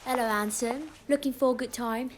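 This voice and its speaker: A woman's voice: on the high side, steady tone, moderate pace, slight background noise, lightly acted, rough recording. A woman playing a brazen, mocking Victorian streetwalker with a Cockney accent, cheeky and teasing, in an audio play. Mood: saucy, mocking. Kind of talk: scripted audio play acting.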